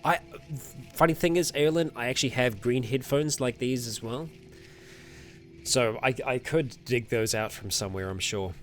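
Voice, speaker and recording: A man's voice: mid-range voice, moderate pace, faint music behind. A man hosting a casual livestream and answering viewer comments, rambling a little with fillers, self-corrections and frequent laughs. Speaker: streamer host.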